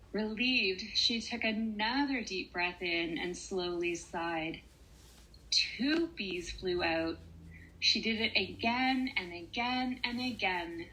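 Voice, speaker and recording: Woman, bright clear-cut tone, unhurried pace, children's storybook delivery, deliberate phrasing, gentle pauses. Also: medium pitch, rough recording. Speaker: children's author reading her own story.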